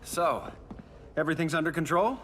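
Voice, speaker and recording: A man's voice: on the high side, moderate pace, noisy background, natural voice. A man playing a cocky, wisecracking archer hero in a video game, flippant and casual. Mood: flippant, amused.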